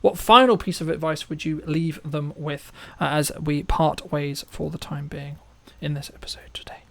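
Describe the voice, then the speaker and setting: A man's voice: a little high, fairly even intonation, normal speed, creaky. A man hosting a casual interview, talking in a rambling, repetitive way and often checking in with short tag questions. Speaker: interview host, filmmaker.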